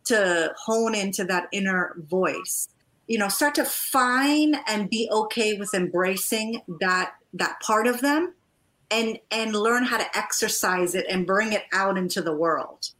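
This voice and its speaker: A woman born in Trinidad and raised partly in the US speaks as a guest in a conversational interview. Her voice sits at a middle pitch. She tells personal stories as a coach, in an effusive, expressive manner. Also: measured pace, low-quality recording. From born in Trinidad, moved to the US at about eight. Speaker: guest, life and divorce coach.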